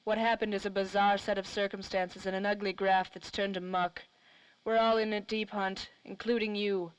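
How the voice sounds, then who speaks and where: A woman's voice: mid-range voice, level tone, moderate pace, clean tone, dry recording, natural voice, poor audio. A woman playing a cool, secretive, wry femme fatale in 1930s radio drama style. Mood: guarded, wry. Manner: wry, slangy.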